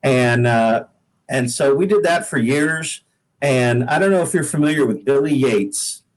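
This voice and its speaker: A man's voice: mid-range voice, a little slow, poor audio. An American man from the Pacific Northwest, a singer-songwriter being interviewed, tells stories in a casual, folksy, modest way. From Pacific Northwest, United States. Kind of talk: casual conversational storytelling.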